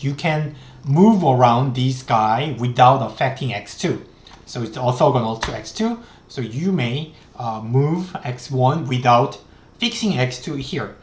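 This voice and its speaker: A man in his thirties, a native Korean speaker with a Korean accent, lecturing on economics in a halting, step-by-step way with many restarts. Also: medium pitch, reverberant. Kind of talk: recorded online lecture. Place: small room indoors.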